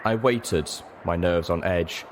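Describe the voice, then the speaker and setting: A man's voice: deep voice, slow. A man reading a story aloud in dramatic, scripted first-person narration. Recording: faint background noise.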